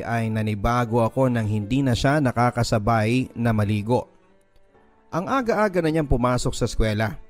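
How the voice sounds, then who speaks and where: Man, Filipino accent, radio drama narrator, scripted first-person storytelling, mid-pitched voice, music bed underneath. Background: background music.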